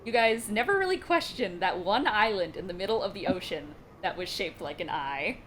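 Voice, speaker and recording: A woman's voice: medium pitch, normal speed, slight background noise. A young woman running a tabletop game, wrapping up the session with lots of laughter. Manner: giggly, energetic. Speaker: game master. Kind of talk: casual chat.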